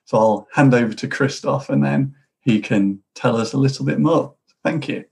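A man hosting an online lecture series, warmly introducing his guest. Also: mid-range voice, normal speed, gravelly. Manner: friendly, enthusiastic introductions.

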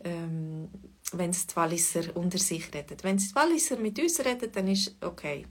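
A woman with a Swiss German accent, a Bernese and Lucerne mix, talking through a relaxed lesson with thoughtful pauses and fillers. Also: on the low side, breathy edge. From Switzerland.

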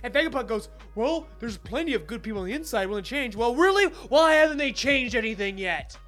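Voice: medium pitch, lively intonation, fairly quick, a bit bright, faint music behind. An opinionated podcast co-host casually reviewing a film, speaking emphatically and swearing freely.

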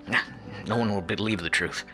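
A man's voice: on the low side, a little fast, over music, natural voice. An actor in a radio play playing an anxious, bewildered museum curator in his thirties, stammering and flustered. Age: 30s.

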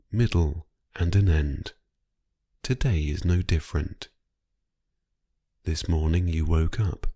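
Very deep, slow, soothing male meditation voice. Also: no room sound, studio quality. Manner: calm, soothing, unhurried, with long pauses. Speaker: guided meditation and sleep hypnosis narrator. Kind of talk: slow guided sleep meditation, hypnotic narration.

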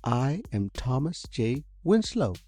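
A man doing a high-voiced old inventor. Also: big pitch swings, slow, mellow, no echo, faint music behind. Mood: eager. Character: eccentric lanky older male inventor, earnest.

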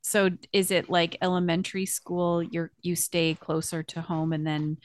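A woman's voice: lowish voice, flat delivery, no room sound. Woman co-host, dry close sound. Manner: quick, restarting questions mid-sentence. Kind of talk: casual podcast interview.

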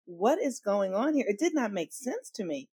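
An earnest woman telling her personal story as a guest in an interview, stressing her points with swings in loudness. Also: medium pitch, sing-song, normal speed, breathy edge, no room sound. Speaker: astrologer guest.

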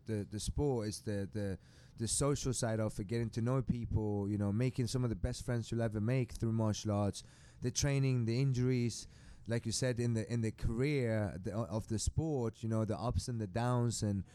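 A man from Sweden talking casually as a podcast guest, halting and repeating words. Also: mid-range voice, warm tone. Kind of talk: casual conversational interview. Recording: clear background noise.

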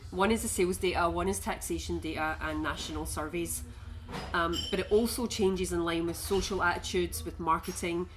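A woman co-hosting a podcast, talking through researched statistics in a measured, informative way. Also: fairly low pitch, steady tone, background noise. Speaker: podcast co-host. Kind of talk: casual chat with researched facts.

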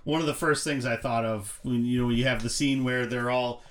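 A man's voice: medium pitch, fairly even intonation, normal speed, fairly bright tone. Rambling man in casual podcast chat. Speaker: podcast co-host.

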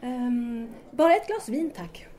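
A woman's voice: a little high, lively intonation, mellow, a little hiss or hum, natural voice. A woman playing an eager, admiring young student in an audio drama, curious and deferential.

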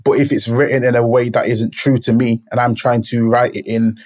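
A man's voice: medium pitch, flat delivery, a little fast, darkish tone. A man, talking reflectively and searching thoughtfully for his words. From Manchester, England. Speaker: poet, guest. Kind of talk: reflective conversation.

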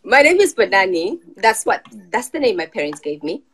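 A woman in her forties from Zimbabwe, relaxed and easy to laugh, telling her story as an interview guest. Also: mid-range voice, normal speed. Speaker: guest, company CEO. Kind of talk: conversational interview answers.

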